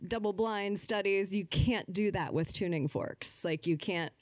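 Woman guest chatting away, explains stuff with acted-out quotes, very dry sound, medium pitch. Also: measured pace, darkish tone, dry recording. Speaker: interview guest. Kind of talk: casual explanatory conversation.

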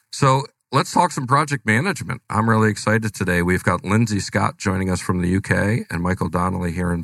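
Deep, gravelly male radio host. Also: no room sound. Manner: relaxed, rambling, many fillers. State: has a cold. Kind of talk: casual radio talk. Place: radio studio.

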